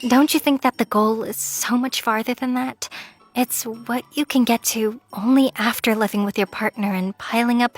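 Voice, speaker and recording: A woman's voice: a little high, natural voice. A woman playing a composed, loyal young female employee in a manga story dub, polite, matter-of-fact and encouraging.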